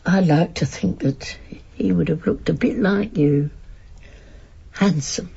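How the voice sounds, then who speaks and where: An actress playing an elderly working-class mother, wistful and devoted, speaking deliberately in a naturalistic radio drama. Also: on the low side, gravelly, dark tone, highs cut off, slight background noise. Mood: tender, grieving. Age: older.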